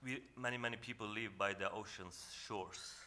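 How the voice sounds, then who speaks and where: A man with a Norwegian accent speaks in a fairly low but bright, forward tone. He talks earnestly and calmly, and he often stops and restarts as he searches for his words. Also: moderate pace. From northern Norway. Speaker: panel speaker. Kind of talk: reflective presentation at a symposium.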